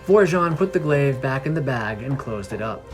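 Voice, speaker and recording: A man's voice: mid-range voice, lively intonation, normal speed, soft background music. A man reading scripted narration for a fantasy adventure story. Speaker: narrator of a fantasy fiction podcast.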